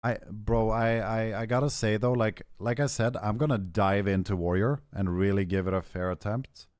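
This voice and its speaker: A young man streaming a game, chatting casually with his viewers, hoarse and clearing his throat as he rambles. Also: fairly low pitch, steady tone, normal speed, darkish tone, no echo, clean studio sound. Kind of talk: casual stream chat, answering chat.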